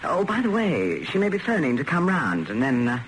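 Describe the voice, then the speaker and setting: An actor playing a polite, diffident British hairdresser in a radio comedy-drama, with a hesitant, courteous manner. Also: male voice, a little high, wildly swooping pitch, brisk. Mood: sheepish. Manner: diffident, stammering, suave with clients. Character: polite, flustered, hesitant gentleman hairdresser turned reluctant agent. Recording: noisy radio drama recording.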